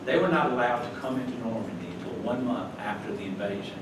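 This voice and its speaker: A man's voice: medium pitch, animated, fast talker. An older man from North Carolina, giving a chatty, folksy slide talk to a club with chuckling asides. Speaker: club guest speaker. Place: meeting hall. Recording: echoey room from far off, noisy.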